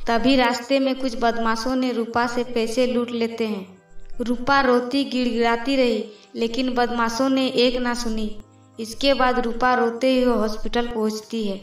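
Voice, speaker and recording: A woman reading scripted narration for a moral fairy tale cartoon. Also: a little high. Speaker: storyteller. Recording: roomy, distant voice over music.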